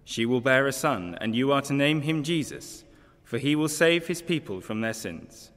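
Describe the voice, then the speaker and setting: A man reads a lesson aloud in a formal, solemn way, measured in his delivery. His voice sits at a medium pitch and rings with the long reverb of a large church. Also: a bit bright. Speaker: lesson reader at a church carol service. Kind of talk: formal scripture reading.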